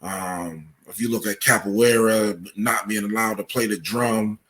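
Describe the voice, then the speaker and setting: A man with an American accent speaking as a researcher guest in a podcast interview, explaining things in a measured way with examples. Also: fairly low pitch, steady tone, fairly bright tone.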